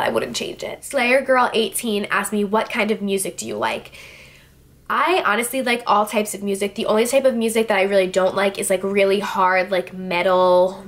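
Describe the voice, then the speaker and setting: A woman's voice: medium pitch, a bit bright. A twenty-year-old woman from New Jersey answering viewer questions in a casual vlog, talking to a close camera in a small room. Her talk is chatty and full of "like" and "I don't know". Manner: chatty, filler-heavy, lots of "like" and "I don't know". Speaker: beauty vlogger. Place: indoors, small room with clothes rack behind. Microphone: camera facing her at close range.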